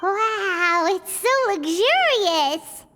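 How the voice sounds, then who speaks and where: A squeaky little fairy kid, super high and swoopy, naive, outspoken, game voice, music behind. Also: female voice, animated, drawn-out words, bright, forceful tone, heavily acted. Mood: awed. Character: small, childlike, excitable, outspoken fairy companion. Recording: long hall reverb, music behind.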